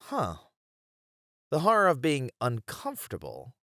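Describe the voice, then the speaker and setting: An actor playing an eager, playful male coworker in an ASMR roleplay audio drama, chatty and animated. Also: medium pitch, a little slow, dry recording, studio quality, exaggerated voice. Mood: puzzled, curious.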